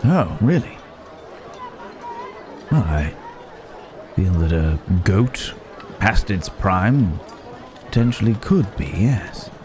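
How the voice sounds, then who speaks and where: A man's voice: low voice, very animated, slow pace, long pauses, heavily acted. A man playing a courteous, wary city-bred werewolf in an ASMR roleplay, hesitant and musing with old-fashioned wordy phrasing. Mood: pondering. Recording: market crowd and outdoor ambience noise. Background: bustling village market ambience.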